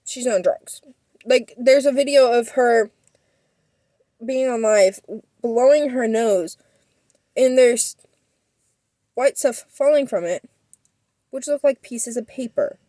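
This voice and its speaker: A woman's voice: fairly high pitch, darkish tone, no room sound. A young woman telling a personal story as a vlogger, rambling and defensive with many fillers, sniffling.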